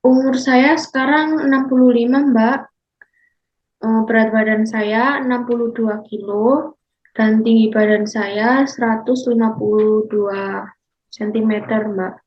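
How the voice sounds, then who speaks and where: A woman's voice: on the high side, fairly even intonation, lightly acted. A woman playing a 65-year-old grandmother as a counseling client, speaking politely and deferentially. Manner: slow, polite, deferential, calls the counselor Mbak. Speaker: counseling client in a class role-play. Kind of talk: scripted role-play consultation. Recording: muffled lo-fi recording.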